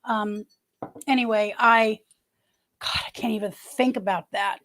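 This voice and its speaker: A woman's voice: mid-range voice, steady tone, measured pace, fairly bright tone, a little hiss or hum. A woman in her early sixties hosting a casual livestream from home, chatting and reading viewer comments in a rambling way with many restarts. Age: 60s. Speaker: livestream host.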